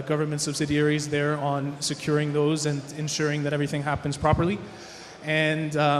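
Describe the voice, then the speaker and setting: A man's voice: on the high side, a little hiss or hum. A man, friendly as he introduces himself on a panel. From Iceland. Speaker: association chairman. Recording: reverberant hall.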